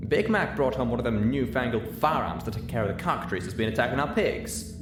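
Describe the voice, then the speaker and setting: A man's voice: medium pitch, expressive, fast talker, echoey room, noisy background, close to an everyday voice. A man voicing a plain-spoken female farm pony with a folksy Southern US drawl, in a story reading. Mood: grave.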